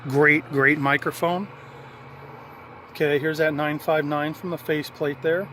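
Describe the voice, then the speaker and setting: A man's voice: a little high, little pitch movement, unhurried, bright, forceful tone, slight background noise. A man giving a casual, unscripted hands-on walkthrough of an installation, explaining things in a matter-of-fact way. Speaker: hobbyist presenter showing a radio installation.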